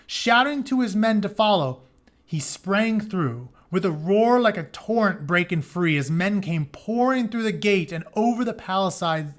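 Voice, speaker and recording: A man's voice: on the high side, fairly bright tone. A man reading an epic story aloud as a teacher, dramatic in the text and breaking into casual, informal explanations. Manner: dramatic reading broken by plain informal paraphrase. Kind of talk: read-aloud of an epic retelling with casual asides.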